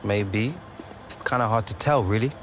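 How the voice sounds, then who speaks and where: An actor playing a guarded, cocky young footballer in a video game cutscene. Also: male voice, lowish voice, moderate pace, a little hiss or hum, natural voice. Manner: curt, guarded. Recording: score music underneath.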